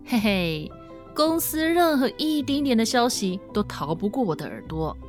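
A woman's voice: on the high side, sing-song, moderate pace, soft background music. A woman acting a nosy, bubbly young office gossip in a radio drama, teasing and full of exclamations. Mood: bubbly, excited.

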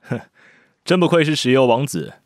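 A man's voice: mid-range voice, sing-song, normal speed, close to an everyday voice. A man with a Taiwanese Mandarin accent voicing a composed adult private tutor who teases dryly but looks out for his charge. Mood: teasing. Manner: dry, teasing, gently caring. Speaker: voice actor. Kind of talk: amateur radio drama acting.

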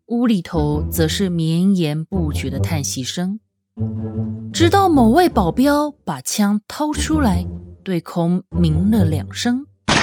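A woman narrating an audio novel in a dramatic, expressive storytelling style. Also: medium pitch. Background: music.

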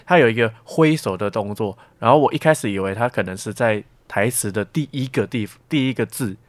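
A man's voice: lowish voice, brisk, dry recording. A young man with a Taiwanese Mandarin accent giving casual, reflective interview answers as a student, talkative and explaining with examples. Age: young adult.

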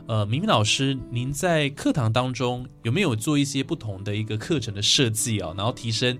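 A man's voice: medium pitch, expressive, a little fast, fairly bright tone, faint music behind. A man with a Taiwanese Mandarin accent hosting a radio interview in a conversational, affirming manner. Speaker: radio host.

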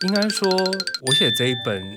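A man's voice: mid-range voice, big pitch swings, brisk, over loud music. A man with a Taiwanese Mandarin accent talking casually and reflectively as an interview guest, candid and self-deprecating.

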